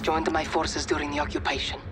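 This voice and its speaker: A woman's voice: deep voice, flat delivery, brisk, music in the background, lightly acted. An actress playing a grave, determined woman freedom-fighter commander in a military video game. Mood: grave, resolute. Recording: loud film score behind the voice.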